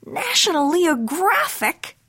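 A woman's voice: extremely high pitch, sing-song, slow, sharp tone, pitched above the performer's own voice, heavily acted. A woman voicing a fussy, scolding mama cat in a storybook, with dramatic rhyming delivery. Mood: fussy, warning.

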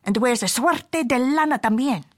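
A woman's voice: on the high side, lively intonation, normal speed, pitched above the performer's own voice, natural voice. A woman voicing a cool male chihuahua gang leader, slangy and mixing in Spanish, for a storybook. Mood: grave warning. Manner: slangy dude talk mixed with Spanish.

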